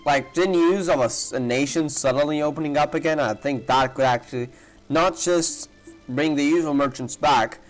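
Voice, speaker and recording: A man's voice: fairly high pitch, moderate pace, poor audio. A man giving casual, rambling playthrough commentary as he thinks aloud, with game music behind him. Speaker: gaming streamer reacting to a playthrough.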